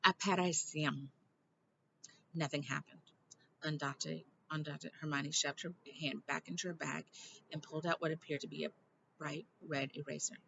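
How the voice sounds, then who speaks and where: Bright, creaky-voiced woman reading a story aloud. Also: low voice, little pitch movement, normal speed, muffled recording, slight background noise. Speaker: reader of a children's novel. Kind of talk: expressive amateur read-aloud with asides.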